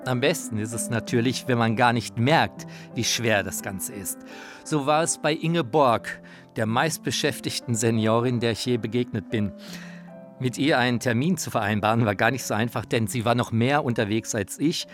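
A man's voice: medium pitch, normal speed, soft background music. A man narrating a radio feature with personal anecdotes in a scripted style.

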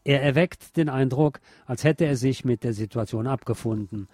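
A man's voice: medium pitch, moderate pace, darkish tone, no echo, studio quality. A man narrating a film review as the host.

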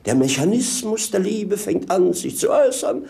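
Animated, gravelly mocking jester. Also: male voice, high-pitched, normal speed, full character voice. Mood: wry, mocking. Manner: mocking, declamatory, theatrical. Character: mocking, exuberant male jester companion of a prince. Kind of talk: 1950s German radio play acting. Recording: 1950s radio play recording.

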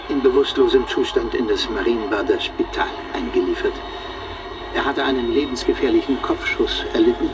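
Formal male chronicler, highly animated intonation. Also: low-quality recording. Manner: formal, report-like delivery. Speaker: narrator. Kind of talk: scripted documentary-style radio drama narration.

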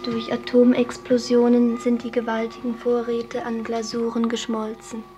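An actress playing a ceremonious guide in a science fiction radio play, speaking in a formal, measured tour-guide manner. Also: female voice, fairly high pitch, monotone, natural voice. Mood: solemn, formal. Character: ceremonious female guide of a sci-fi pleasure house. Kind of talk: scripted radio drama acting. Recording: music behind the voice.

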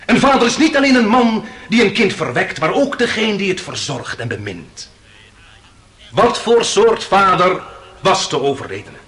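Radio play defence lawyer: high male voice, very animated, rhetorical and impassioned. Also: normal speed. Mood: impassioned, reasoning. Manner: rhetorical, declamatory, emphatic. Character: impassioned defence lawyer, grandly appealing to a jury. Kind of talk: Dutch radio play acting, courtroom oratory.

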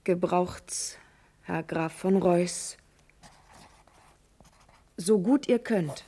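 A woman's voice: lowish voice, very slow, mellow, full character voice. A woman playing a young noblewoman in a classical verse radio drama, resolute, urgent and tender, with formal declamatory diction. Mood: resolute, scheming.

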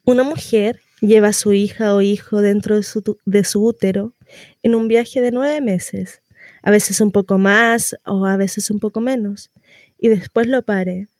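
A woman performing a dramatic monologue as a devoted mother counselling her daughter, with deliberate pauses. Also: mid-range voice, fairly even intonation, darkish tone, no echo, studio quality, natural voice. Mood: tender, earnest. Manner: slow, deliberate, pausing delivery. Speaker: actress.

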